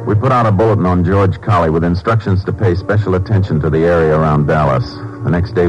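An actor playing a calm, polite Texas Ranger in a 1950s radio crime drama, matter-of-fact in dialogue and narration. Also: male voice, rumbling low. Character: calm, steady male Texas Ranger lawman narrating his case. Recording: dull old-time radio recording, music behind.

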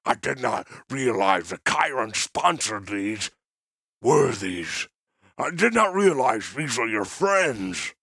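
A man voicing a monstrous, servile mercenary beast in a fantasy audiobook, with a buzzing, gravelly, husky voice. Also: medium pitch, sing-song, slow, sharp tone, dry recording, studio quality, heavily acted. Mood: servile pleading. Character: monstrous insect-jawed male mercenary beast, grovelling before master.

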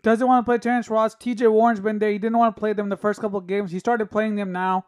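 A man hosting a solo sports podcast, talking casually and giving opinions, his voice raspy from a cough. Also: sits high, level tone, fast talker, darkish tone. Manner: casual, opinionated, thinking aloud with fillers. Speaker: podcast host.

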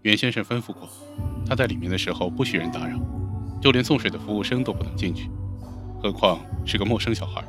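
A man's voice: fairly low pitch, fast talker, over music, natural voice. A man playing a polite but unyielding guard in a modern audio drama, formal and firm.

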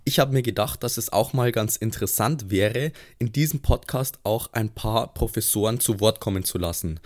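A man's voice: lowish voice, moderate pace. A young man hosting a podcast, greeting listeners and putting carefully phrased questions to a guest. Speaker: podcast host. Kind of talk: podcast intro and interview questions.

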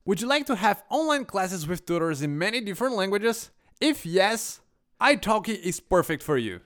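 A man hosting a podcast, reading a scripted sponsor spot and introducing the guest in a lively promo delivery. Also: high voice, normal speed. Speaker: podcast host.

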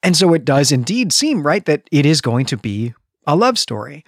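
A man's voice: a little high, sing-song, moderate pace, no room sound, clean studio sound. A man co-hosting a podcast, talking about fiction in an enthusiastic, emphatic, conversational way. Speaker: podcast co-host. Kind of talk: conversational literary podcast discussion.

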